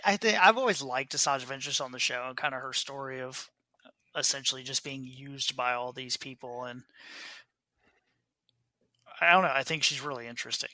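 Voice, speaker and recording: A man's voice: medium pitch, sharp tone, no echo. A man co-hosting a podcast in casual, conversational book talk. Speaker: podcast co-host.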